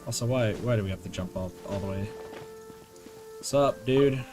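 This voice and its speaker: A man's voice: lowish voice, normal speed. A young man giving casual game stream commentary, slangy and repetitive, with casual swearing. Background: game music.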